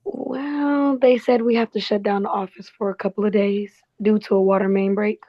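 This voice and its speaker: Woman acting a helpful cheeky office assistant lady, chatty and easygoing, medium pitch, bit dull lo-fi sound. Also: steady tone, moderate pace, close to an everyday voice. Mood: apologetic. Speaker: actor in a table read. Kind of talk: casual naturalistic script read.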